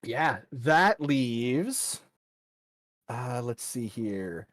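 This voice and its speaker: A man acts as game master for a tabletop role-playing session, improvising narration in an informal, colorful way. He takes his time with an unhurried pace and a middle-range voice. The sound is dry and close, with no room echo. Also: mid-range voice, big pitch swings, fairly bright tone.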